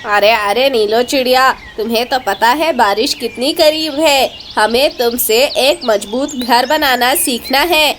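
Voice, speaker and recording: A woman's voice: fairly high pitch, measured pace, few pauses, fairly bright tone, slight background noise. A woman voicing a lazy, persuasive male crow in a cartoon story, with an exclamatory, urging manner. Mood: eager. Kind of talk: Hindi cartoon story dialogue.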